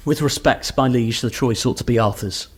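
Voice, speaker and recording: An actor playing a stern, exasperated knight in a comic radio play, brusque, loyal and declamatory. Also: male voice, medium pitch, normal speed, slightly rough, lightly acted. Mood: resigned. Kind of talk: radio play comedy acting.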